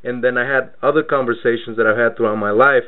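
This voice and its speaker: A man's voice: medium pitch, steady tone, quick pace. A man born in Mexico hosting a radio interview, talking in a loose, rambling way and repeating words as he searches for his point. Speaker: radio show host. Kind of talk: casual radio interview talk. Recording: tinny phone-line radio audio.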